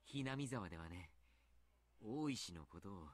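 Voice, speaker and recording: An actor voicing a mild, polite, teasing doctor in a Japanese visual novel, speaking in a courteous, measured manner. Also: male voice, sits low, lively intonation, no echo. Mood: grave, confiding. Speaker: doctor and baseball coach character.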